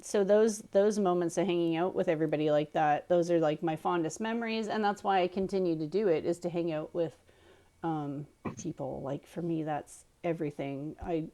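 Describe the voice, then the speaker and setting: Lady podcast co-host, lowish voice, just chatting casually. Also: normal speed, dark tone. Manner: informal, conversational. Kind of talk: casual chat.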